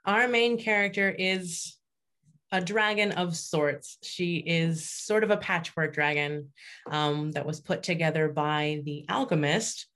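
Very low-voiced woman, thoughtful and articulate. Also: steady tone, takes it slowly, a bit bright. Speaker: fiction writer, interview guest. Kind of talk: conversational explanation in an interview.

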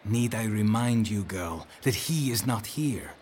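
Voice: medium pitch, animated, a little slow, darkish tone, husky. An actor playing a dry, skeptical male advisor in an audio drama.

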